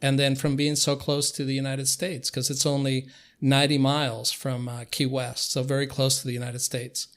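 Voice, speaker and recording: An older man from Cuba, a native Spanish speaker, reminiscing conversationally as an interview guest with halting phrasing and frequent pauses. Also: medium pitch, monotone.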